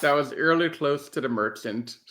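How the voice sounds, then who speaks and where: Guy hosting a podcast, kinda high bright voice, just chatting casually. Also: a little fast. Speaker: podcast host. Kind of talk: casual chat.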